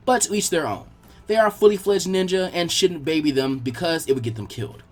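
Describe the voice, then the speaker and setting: A man reading fan fiction aloud as expressive, scripted story narration. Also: on the high side, a little fast.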